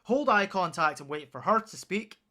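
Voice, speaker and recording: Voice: medium pitch, expressive, moderate pace, pushed and bright. A streamer giving sarcastic, sweary reactions while playing a video game.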